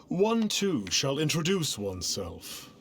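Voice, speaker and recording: Slow, pompous self-styled immortal. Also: male voice, fairly high pitch, wildly swooping pitch, heavily acted. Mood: lofty. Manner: pompous, stilted archaic formality. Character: pompous self-styled immortal mystic, grandiose and falsely modest. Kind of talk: video game voice acting. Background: faint game music.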